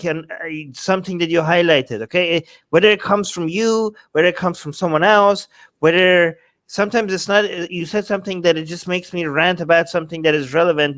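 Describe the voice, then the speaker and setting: A man's voice: high-pitched, steady tone, fairly quick, a bit bright, no echo. A man co-hosting a live discussion, giving opinions emphatically, repeating himself and checking for agreement. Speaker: talk show co-host.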